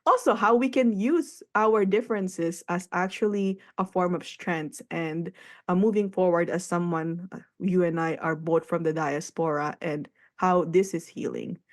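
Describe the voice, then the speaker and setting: Woman radio host from the philippines, low voice, chatty and reflective, laughs easily. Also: unhurried. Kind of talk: casual radio conversation.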